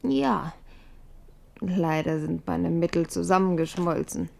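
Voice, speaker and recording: Give a radio play its worldly former queen in her fifties. Her voice sits low with a gravelly creak, and she speaks with poise, knowing and flirtatious. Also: slow, a little mellow, full character voice. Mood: knowing, coaxing. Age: 50s. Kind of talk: German radio play acting.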